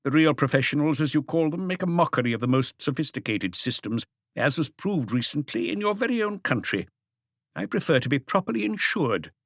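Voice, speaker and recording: A male narrator plays a wealthy art collector in an audiobook mystery, pitched higher. He is haughty and guarded, answering with defensive, indignant politeness. The sound is dry and close. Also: sing-song, brisk, gravelly, dry recording, heavily acted. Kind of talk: audiobook character dialogue.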